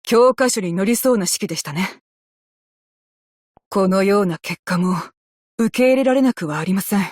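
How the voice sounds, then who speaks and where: A woman playing a composed, dutiful female naval officer in a game, speaking with measured, formal military politeness. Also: medium pitch, darkish tone, no echo, studio quality, lightly acted. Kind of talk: scripted game character voice lines in Japanese.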